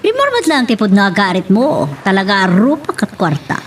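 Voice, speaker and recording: A woman's voice: medium pitch, wildly swooping pitch, moderate pace. A woman playing a haughty, scornful accuser who scolds with contempt in an audio romance drama. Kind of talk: Ilocano radio-style romance drama acting. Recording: distant mic with faint noise.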